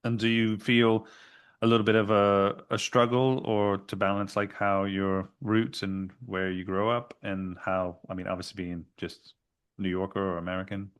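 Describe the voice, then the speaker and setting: A man hosting a podcast, introducing his guest and asking plain, measured questions. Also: fairly low pitch, little pitch movement, smooth. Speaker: podcast host.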